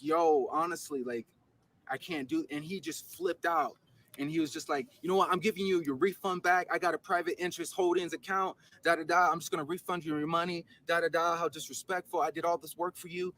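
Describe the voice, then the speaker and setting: A man with a gravelly, slightly high voice tells a long story in a casual, rambling way. He gets animated and jumps up in pitch at times. The recording carries clear background noise. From Los Angeles. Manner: earnest, rambling retelling, voice jumps up when animated. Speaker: guest telling his story.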